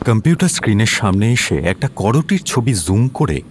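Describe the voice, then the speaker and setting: A man's voice: fairly low pitch, lively intonation, brisk, slight background noise. A man reading scripted narration for an audio detective story. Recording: music under the voice.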